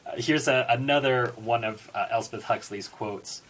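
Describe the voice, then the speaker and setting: Male book reviewer, mid-pitched and a little husky, thoughtful delivery with stressed words and pauses. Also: fairly bright tone, rough recording. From born in Singapore, United States citizen. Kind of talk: book review talk with read-out quotations.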